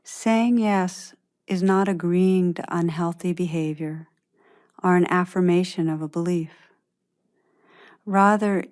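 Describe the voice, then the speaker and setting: A woman leading a guided meditation, speaking gently and deliberately, with spacious pauses between phrases. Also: fairly low pitch.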